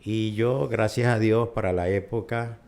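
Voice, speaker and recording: A man's voice: fairly low pitch, fairly even intonation, a little slow, gravelly, a little mellow. An older man with a Venezuelan accent, a urologist guest recounting his career in a conversational interview. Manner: chatty storyteller, recounts at length.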